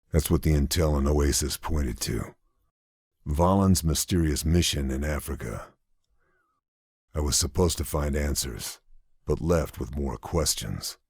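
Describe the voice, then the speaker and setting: A grim ww2 sniper in a game, super low husky voice, terse dramatic mission narration. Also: male voice, dry recording, exaggerated voice. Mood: brooding. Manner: terse, brooding, deliberate. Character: grim, gravelly male WWII sniper narrating his missions.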